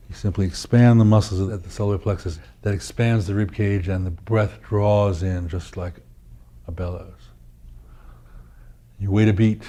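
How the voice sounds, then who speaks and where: A man teaching guided meditation, speaking in a measured, deliberate way with pauses between short phrases. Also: low voice, dark tone.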